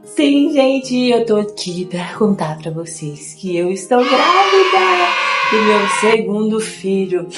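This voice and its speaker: A young woman from Brazil chatting casually to her viewers, a little nasal from a cold and often repeating herself. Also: medium pitch. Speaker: vlogger. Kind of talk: casual chat to camera. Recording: echoey room with faint guitar music.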